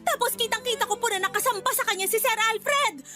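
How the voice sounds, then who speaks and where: An actress playing a loyal, anxious housemaid in a Filipino radio drama, deferential and earnest. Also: female voice, extremely high pitch, fast talker, over music. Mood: urgent, earnest.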